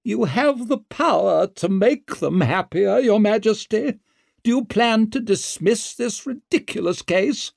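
A man's voice: high voice, expressive, measured pace, vocal fry, a little mellow, no echo, clean studio sound, pitched above the performer's own voice, exaggerated voice. A man voicing a formidable, haughty noblewoman in an audiobook, imperious and indignant with contained fury. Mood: haughty indignation. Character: formidable, furious, haughty noblewoman, an accused man's mother.

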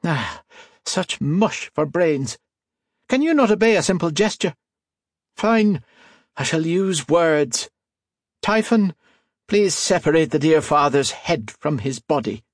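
A man's voice: a little high, animated, slow pace, long pauses, no room sound, studio quality, voice pushed up. A man voicing a thin, menacing male villain with a reedy voice and an Irish lilt. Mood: contemptuous. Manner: silky, mocking, threatening.

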